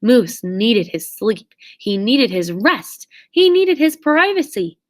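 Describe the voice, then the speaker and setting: A woman reading a children's story aloud expressively, with theatrical, drawn-out emphasis on key words. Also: a little high, big pitch swings. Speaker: storybook reader.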